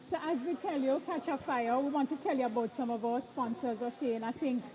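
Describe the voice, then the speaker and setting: A woman's voice: high-pitched, fairly even intonation, fairly quick, poor audio. A woman hosting a live outdoor event broadcast, upbeat and prompting her co-host with questions. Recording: crowd noise behind. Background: crowd chatter.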